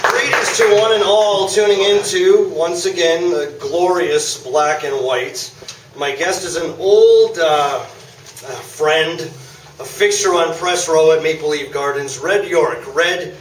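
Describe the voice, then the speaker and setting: A man playing a dry, needling veteran sports interview host in a comic radio play. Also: fairly high pitch. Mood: dryly needling. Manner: dry, sardonic, deliberate. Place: small theatre, live performance. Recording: roomy, distant stage recording with noise.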